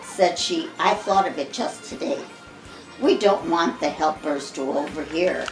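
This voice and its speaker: An American woman in her eighties reads a Christmas poem aloud to family, measured and expressive, with the odd stumble. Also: sits low. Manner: measured, expressive storybook reading with occasional stumbles. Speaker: grandmother reading to family. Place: living room at home. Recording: roomy home recording with music behind.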